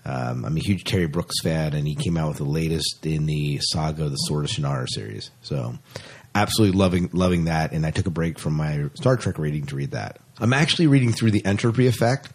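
A man's voice: extremely low pitch, lively intonation, a little fast, slightly rough. A man chatting casually about science fiction as a podcast co-host, often repeating phrases for emphasis.

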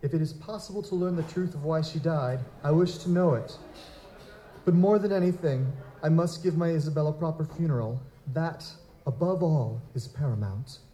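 Man playing a dark-toned, formal young baron. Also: a little high, slight background noise, natural voice, poor audio. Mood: solemn, determined. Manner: formal, aristocratic, deliberate phrasing. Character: formal, grieving young nobleman baron, courteous and determined. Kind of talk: live audio drama acting.

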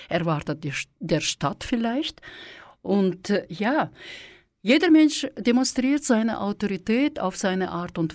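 Woman, radio host, middle pitch, halting delivery with lots of fillers. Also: a little mellow. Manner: hesitant, with frequent fillers. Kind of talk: radio interview.

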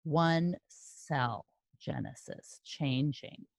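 A woman's voice: low voice, drawn-out words, no echo. A woman in a casual podcast chat, playfully thinking aloud as she guesses quiz answers.

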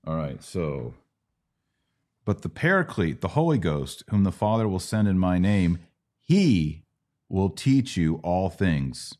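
A man with a very low voice hosts a religious Q&A podcast. His delivery swings widely in pitch as he talks conversationally, leaning hard on key words and repeating them to drive a point home. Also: sing-song, slow pace, darkish tone. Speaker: podcast host.

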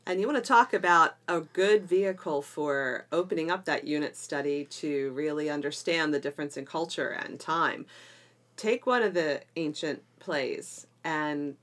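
Chatty lady podcast host, voice a bit on the low side, teacher type, laughs easy. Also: moderate pace, fairly bright tone. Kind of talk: casual educational podcast chat.

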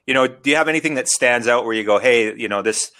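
A man's voice: medium pitch, fast talker, bright, forceful tone. A man hosting an interview, asking long, rambling questions padded with "you know". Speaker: podcast host interviewer.

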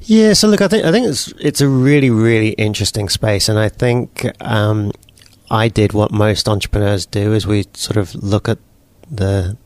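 Low-voiced British-accented man, dry sound. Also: moderate pace, no echo. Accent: British English. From New Zealand. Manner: relaxed, repeats words and restarts often. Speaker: guest entrepreneur. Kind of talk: casual interview answers.

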